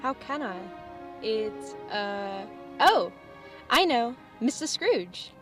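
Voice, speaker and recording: A woman's voice: fairly high pitch, big pitch swings, slow, music in the background. A woman playing an earnest young sweetheart in a radio play, with a theatrical delivery. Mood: playful curiosity. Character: earnest, sorrowful young sweetheart, later a wife.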